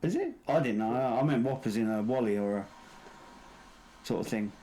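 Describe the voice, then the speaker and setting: A man's voice: mid-range voice, a little fast, warm tone. A young man co-hosting a casual podcast, rambling, swearing casually and laughing at himself. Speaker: podcast co-host. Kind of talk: casual banter among friends. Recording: echoey room with crowd noise.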